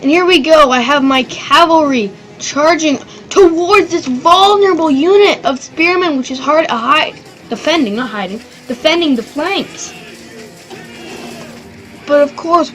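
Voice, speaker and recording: A woman hosting her own channel, giving loose, excitable commentary on a strategy game battle, rambling and swearing now and then. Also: high voice, poor audio. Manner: rambling and excitable, swears, does silly voices. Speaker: gaming channel host.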